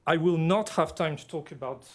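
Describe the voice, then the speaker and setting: A man from Belgium with a French-influenced accent, giving a measured academic panel presentation as a political scientist, pausing often with fillers. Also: fairly high pitch. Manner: measured and deliberate, with frequent filler pauses.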